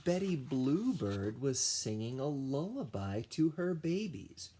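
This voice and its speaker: A man reading a picture book aloud to young children as their music teacher, expressively and with dramatic pauses. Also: medium pitch, very animated, dark tone, slight background noise.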